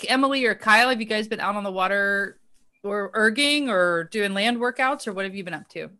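A woman's voice: medium pitch, a little fast, pushed and bright. A woman co-hosting an interview, asking questions in an encouraging, friendly way. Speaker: podcast co-host.

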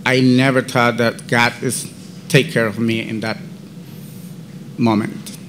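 Slow, halting man with a Central American accent. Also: medium pitch, little pitch movement, a bit bright, a little hiss or hum. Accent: Central American Spanish. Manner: halting, non-native English; slow, deliberate. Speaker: podcast guest. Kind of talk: personal faith testimony storytelling.

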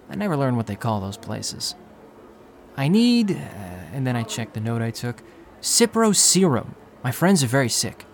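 A man acting the narrator of an audio drama, an earnest, self-doubting young man from an undercity slum, speaking in a halting, confessional, emotional way. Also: medium pitch, slight background noise, natural voice. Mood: weary narration, then desperate pleading. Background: music bed.

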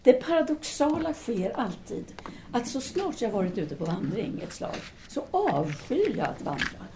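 A woman's voice: fairly high pitch, sing-song, mellow, slight background noise. A woman playing a self-assured, restless 1930s Parisian intellectual in an audio drama, speaking formally and decisively. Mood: candid, restless.

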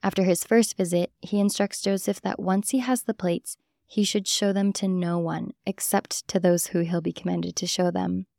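A woman's voice: on the low side, fairly even intonation, moderate pace, gravelly, mellow, dry recording, clean studio sound. A woman hosting and reading scripted documentary podcast narration. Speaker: host and narrator.

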